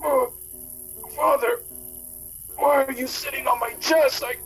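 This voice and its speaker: An actor playing a brutish, dim bully in a radio drama, blustering and groaning. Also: male voice, very high-pitched, fairly even intonation, a little slow, gravelly, fairly bright tone, faint music behind, heavily acted. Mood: dazed, groaning.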